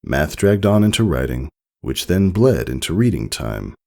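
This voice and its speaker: Male story narrator, deep and fry-laden, expressive scripted reading. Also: moderate pace. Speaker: fan fiction reader.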